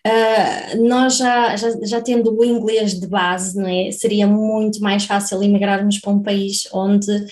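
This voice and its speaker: A woman's voice: mid-range voice, flat delivery, measured pace, hardly any pauses, darkish tone. A woman with a European Portuguese accent hosting an interview, chatty and laughing easily, often checking in with the guest. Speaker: podcast host. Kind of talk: conversational interview.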